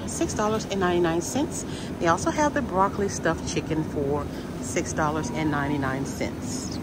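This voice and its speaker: A woman vlogger filming a grocery store walk-through, reading out each item and its price in a slow, deliberate way. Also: mid-range voice, heavy background noise. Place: inside a large supermarket.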